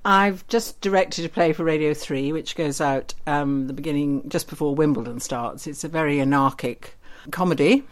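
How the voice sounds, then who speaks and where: Casting: older woman, low voice, radio director type, candid and a little saucy in interview. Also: moderate pace, hardly any pauses. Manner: frank, playfully saucy. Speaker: radio drama producer and director, interview guest. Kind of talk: anecdotal interview chat.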